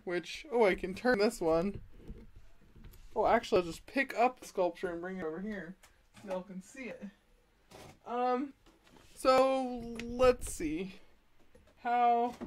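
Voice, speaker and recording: A woman chats live from her art studio, giggling at her own confusion over the stream. She speaks slowly in a fairly high voice. Her words are picked up by the laptop and camera mics around her. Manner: self-deprecating, giggly, fumbling with the tech. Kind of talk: casual live stream chat. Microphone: laptop, DSLR and chest-mounted webcam.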